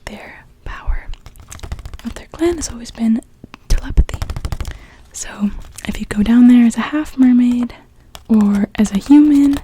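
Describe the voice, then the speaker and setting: A young woman playing a gentle, welcoming witch in a soft, soothing whispered ASMR roleplay. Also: on the high side, measured pace, slight background noise, lightly acted, low-quality recording. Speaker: ASMR performer.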